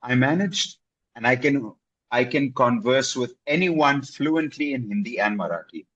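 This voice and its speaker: A man's voice: mid-range voice, lively intonation, unhurried, a bit bright, husky, no echo, lo-fi sound. A man working as an English tutor, chatting casually and encouragingly, often checking in with "right?" and "okay?". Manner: encouraging, repeats himself for emphasis with "okay?" and "right?". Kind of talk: casual video-call conversation.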